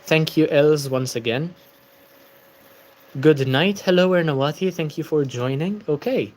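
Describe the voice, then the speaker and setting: A man's voice: fairly high pitch, a little slow, a little mellow. A tired young man hosting a casual book-channel livestream, chatting and answering viewer comments.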